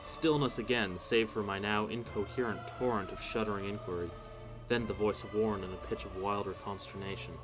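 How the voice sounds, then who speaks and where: A man's voice: lowish voice, steady tone, a little fast. A man narrating a horror story in the first person, solemn and theatrical. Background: music.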